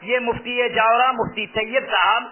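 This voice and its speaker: High-pitched male preacher, tinny sound. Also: steady tone, normal speed, slight background noise. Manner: emphatic, repeats key phrases. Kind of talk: sermon explaining a fatwa to listeners. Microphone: loudspeaker microphone close to the mouth. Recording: tinny phone-like loudspeaker sound.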